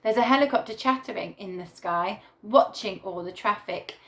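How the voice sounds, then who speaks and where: A woman teacher reading a picture book aloud to young children in a deliberate, animated storytelling way. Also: mid-range voice. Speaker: primary school teacher reading to her class.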